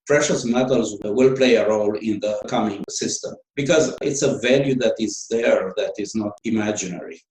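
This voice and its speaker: A man's voice: on the low side, moderate pace, few pauses, darkish tone, reverberant, low-quality recording. A man with a Romanian accent, a guest author answering interview questions in a hesitant way, with many fillers and restarts.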